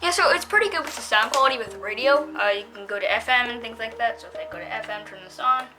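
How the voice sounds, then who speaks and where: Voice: mid-range voice, animated, fast, music in the background. A female-sounding reviewer giving a casual, unscripted product demonstration, talking in a hesitant, rambling way.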